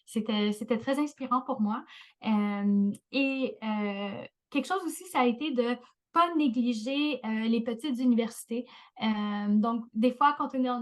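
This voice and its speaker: A woman speaking as a professor on a panel, hesitant with many fillers. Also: fairly high pitch. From Canada. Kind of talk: panel discussion.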